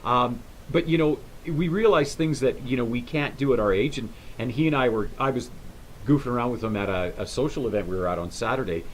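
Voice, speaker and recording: A man's voice: mid-range voice, lively intonation, a little hiss or hum, low-quality recording. Rambling middle-aged man hosting a talk show. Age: 50s. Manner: rambling, halting with many restarts. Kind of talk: casual interview chat.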